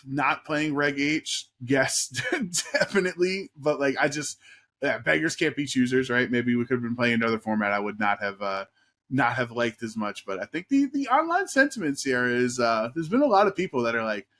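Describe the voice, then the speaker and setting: A man of middle pitch co-hosting a podcast in casual chat. He talks with enthusiasm, rambling and leaning on fillers as he goes. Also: very animated, normal speed, a bit bright. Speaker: podcast co-host.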